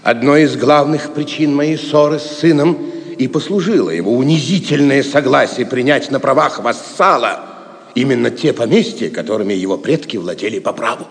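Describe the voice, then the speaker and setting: A man's voice: mid-range voice, expressive, normal speed, natural voice. An actor playing a proud, stern Saxon nobleman and father in a Russian audio play, speaking solemnly and firmly. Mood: stern, resentful. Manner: solemn, firm. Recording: long hall reverb.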